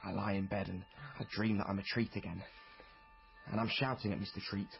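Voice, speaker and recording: A man's voice: fairly low pitch, fairly even intonation, a little fast, gravelly, highs cut off, soft background music. An actor playing a polite, earnest young man who narrates his own story in a period radio drama, confiding and courteous. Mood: reflective.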